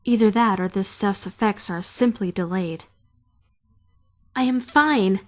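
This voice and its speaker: A woman's voice: medium pitch, unhurried, faint music behind, lightly acted. A woman voicing a prim, socially awkward young trainee who narrates a visual novel fan dub in formal, bookish language. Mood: composed, unsure.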